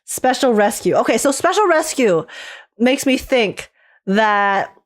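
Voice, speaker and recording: A woman hosting a casual gaming livestream, chatting excitably, teasing herself and repeating phrases for emphasis. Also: a little high, a little slow. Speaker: livestream host and gaming reactor. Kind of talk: casual livestream chat and trailer reaction.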